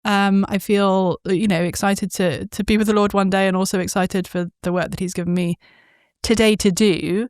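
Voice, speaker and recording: A woman's voice: mid-range voice, steady tone, moderate pace, a little mellow, no echo, studio quality. A woman, hosting an interview in casual chat, telling stories with many fillers and frequent laughs. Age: 40s. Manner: chatty storyteller, laughs often, many fillers. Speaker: podcast host.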